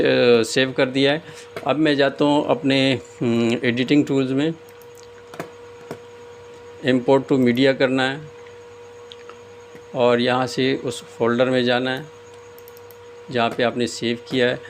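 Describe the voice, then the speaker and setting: A man giving a casual step-by-step screen tutorial as host, often pausing hesitantly mid-sentence. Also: mid-range voice, level tone. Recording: clear background noise, room ambience.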